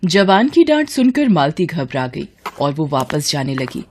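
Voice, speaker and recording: A woman narrating a scripted story as a storyteller, in an expressive, dramatic manner. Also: sits low, measured pace, a little hiss or hum.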